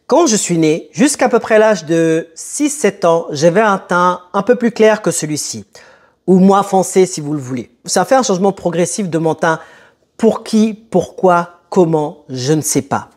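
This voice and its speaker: A young man who grew up in France telling a personal story to camera in a conversational, emphatic way with dramatic pauses. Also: sits high, expressive, a little mellow. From born in Italy, moved to France as a child. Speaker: motivational video host.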